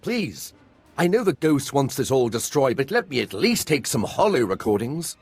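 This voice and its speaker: An actor playing a giddy, scholarly tomb-raiding archaeologist in a video game, effusive and theatrical in manner. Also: male voice, medium pitch, big pitch swings, moderate pace, husky. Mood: earnest pleading. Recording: music behind the voice.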